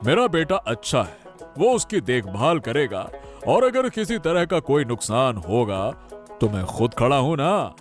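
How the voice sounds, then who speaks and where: A man's voice: mid-range voice, big pitch swings, measured pace. A man voicing a middle-aged father-in-law in a cartoon story, who speaks firmly and reassuringly. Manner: firm, reassuring, scolding. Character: stern but kind middle-aged father-in-law, head of house. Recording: soft background music.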